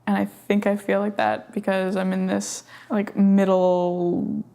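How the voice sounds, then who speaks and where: A woman in her mid twenties talks very slowly, with heavy vocal fry. She speaks haltingly and searches for words. She is answering questions in a documentary interview. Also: medium pitch, flat delivery, dark tone. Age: mid 20s. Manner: hesitant, halting.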